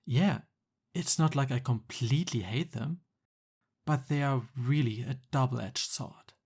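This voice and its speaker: A man's voice: medium pitch, unhurried, creaky, darkish tone, slightly rough. A man playing a jealous, exasperated young Cupid best friend in a conversational boyfriend roleplay ASMR. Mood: explaining, wry.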